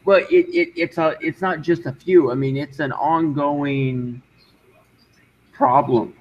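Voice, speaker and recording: A man arguing his point in casual online talk, halting and insistent, often repeating himself. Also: a little high, fairly even intonation. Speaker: discussion guest. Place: online group voice call. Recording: dull, noisy voice-call audio.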